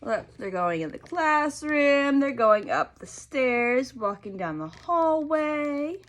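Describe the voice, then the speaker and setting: A woman reads a picture book aloud to young children in a fairly high voice with lively intonation. She takes her time and prompts her young listeners with questions. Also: slow.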